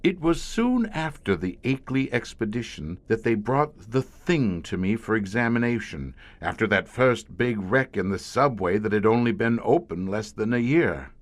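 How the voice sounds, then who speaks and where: An actor playing a weary, grim professor who commands a secret police squad, speaking formally and hesitantly in an audio horror drama. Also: male voice, fairly low pitch, animated, dry recording, close to an everyday voice. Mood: grim, recollecting. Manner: measured, hesitant, formal, darkly matter-of-fact.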